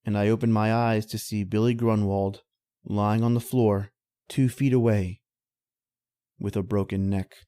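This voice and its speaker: An actor playing a middle-aged former oil-rig worker, telling an old-time radio horror tale in folksy, slangy, hesitant speech. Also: male voice, fairly low pitch, fairly even intonation, unhurried, mellow, close to an everyday voice. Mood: haunted storytelling.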